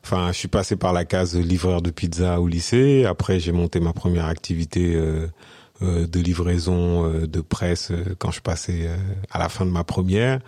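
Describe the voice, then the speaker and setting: A man's voice: sits low, fairly even intonation, moderate pace, dark tone, no echo. A man in his forties from Senegal, a guest on an interview, speaking casually with many fillers and restarts. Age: 40s. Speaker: podcast guest, business consultant.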